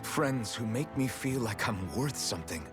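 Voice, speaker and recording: A young male warrior from a video game, played by an actor, speaking with earnest, protective conviction. His voice sits at a middle pitch for a man. Game music plays clearly behind him. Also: lively intonation, normal speed, lightly acted. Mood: earnest, determined.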